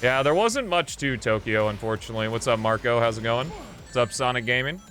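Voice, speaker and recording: A man's voice: mid-range voice, lively intonation, fairly quick, a bit bright. Man doing casual game stream commentary over music. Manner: informal, rambling, thinking aloud. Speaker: livestream host. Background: video game music.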